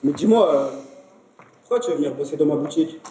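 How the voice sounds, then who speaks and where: A man's voice: a little high, lively intonation, fast, warm tone, a little hiss or hum, lightly acted. Young man playing a brash, mocking shop owner. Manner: teasing, brash. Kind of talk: amateur stage acting. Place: stage in a hall. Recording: echoey hall, distant mic.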